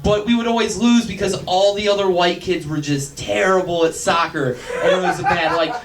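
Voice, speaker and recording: A man in his late twenties doing a stand-up set, nerdy and self-deprecating with quick asides. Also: high voice, noisy background. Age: 20s. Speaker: stand-up comedian. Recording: echoey club, far mic, crowd.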